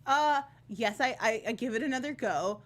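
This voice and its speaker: A woman's voice: on the high side, moderate pace. A woman chatting casually as a guest reviewer on a panel, emphatic and enthusiastic.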